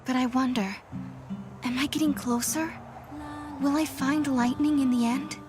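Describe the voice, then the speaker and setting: An actress playing an earnest, hopeful young heroine in a video game, with a gentle manner. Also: female voice, high voice, fairly even intonation, measured pace. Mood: reflective narration. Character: earnest, hopeful young woman searching for her sister. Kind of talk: video game English dub acting. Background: music.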